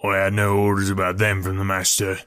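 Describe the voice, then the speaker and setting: A man voicing a gruff, stubborn ex-prizefighter porter with blunt, rough working-class speech in an audiobook. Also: low voice, completely flat delivery, a little fast, fairly bright tone. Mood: suspicious. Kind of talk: audiobook character voice.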